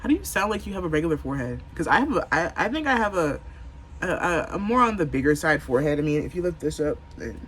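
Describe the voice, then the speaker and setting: A man hosting a reaction video who comments loudly and chattily, playing things up for the camera. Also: on the high side, sing-song, quick pace, a little hiss or hum. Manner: chatty, loud, exaggerated for the camera.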